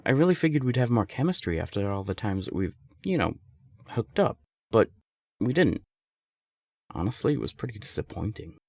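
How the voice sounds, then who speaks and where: A man performs a young, secretly smitten friend in an intimate audio roleplay. The voice is deep and creaky, swinging widely in pitch. The delivery is conversational, candid and emphatic, like a close friend talking. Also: very animated, normal speed, darkish tone, dry recording, exaggerated voice. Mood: defensive. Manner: conversational, hesitant, emphatic swearing. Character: serial-dater friend, exasperated, warm, secretly smitten.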